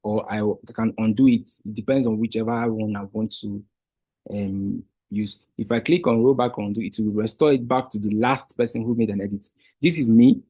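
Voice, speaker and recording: A man's voice: fairly low pitch, fairly even intonation, fairly quick, dark tone, no echo. A man whose first language is Igbo, patiently walking viewers step by step through a screen-share tutorial. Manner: patient step-by-step explaining with restarts. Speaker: Wikidata trainer.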